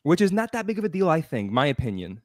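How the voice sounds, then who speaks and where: Male panelist, mid pitch, dry close sound, explanatory. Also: sing-song, fast talker, darkish tone, no room sound. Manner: explaining patiently with examples. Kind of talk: casual panel chat. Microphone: webcam on a video call.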